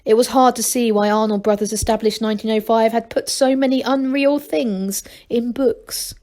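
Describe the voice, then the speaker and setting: A woman's voice: on the high side, fairly even intonation, normal speed, darkish tone. A woman storyteller reading a children's book aloud.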